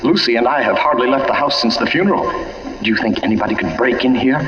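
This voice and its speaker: A man's voice: medium pitch, quick pace, a bit bright, breathy edge. A man playing an anxious, guilt-ridden husband in 1940s radio mystery style, speaking urgently and earnestly. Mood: shocked, insistent. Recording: dull, muffled old radio recording.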